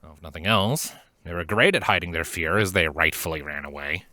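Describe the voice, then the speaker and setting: A man narrating scripted fan fiction in an earnest, dramatic storytelling manner with deliberate pauses. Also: low voice, bright, forceful tone, dry recording, studio quality.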